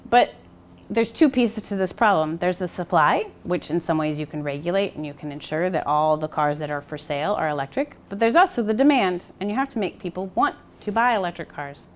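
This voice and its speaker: A woman's voice: lowish voice, a little fast. An American woman presenting a scientific seminar, explaining steadily with fillers and self-corrections. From United States. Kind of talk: academic presentation.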